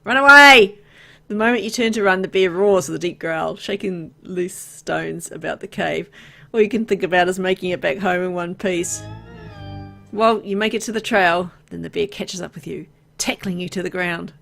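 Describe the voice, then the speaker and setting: A woman's voice: on the low side, moderate pace, vocal fry, sharp tone, faint music behind. A woman reading a video game's story aloud, mixed with casual, playful asides as she plays. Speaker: video game let's player.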